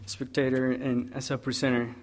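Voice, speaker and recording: A man's voice: mid-range voice, flat delivery, normal speed. A young man from Peru, a graduate student, giving a technical conference presentation with many hesitations, explaining step by step.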